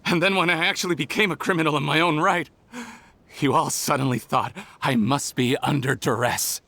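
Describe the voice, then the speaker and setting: An actor playing a bitter, scornful criminal in a video game, with a sardonic, theatrical delivery. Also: male voice, on the high side, fairly even intonation, moderate pace, bright, forceful tone, no echo. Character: bitter, scornful male criminal, desperate and self-pitying. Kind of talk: dramatic video game dialogue.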